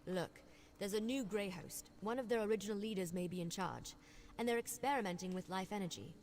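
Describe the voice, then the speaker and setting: A woman acts out a young female vampire investigator from a fantasy game. She is brisk and wry, explaining things with confident, conversational ease. Her voice sits at a middle pitch. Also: a little mellow, close to an everyday voice. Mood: earnest, urgent. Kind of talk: video game character dialogue.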